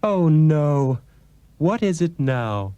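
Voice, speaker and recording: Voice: mid-range voice, very animated, very slow, warm tone, clean tone, no echo, muffled recording. An actor playing a meek, henpecked fisherman in a dramatised folk tale, hesitant, pleading and despairing. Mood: dismayed.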